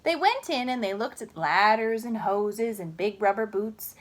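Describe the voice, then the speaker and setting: A woman reading a children's story aloud in an expressive, unhurried way, with chatty asides. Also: mid-range voice, slight background noise.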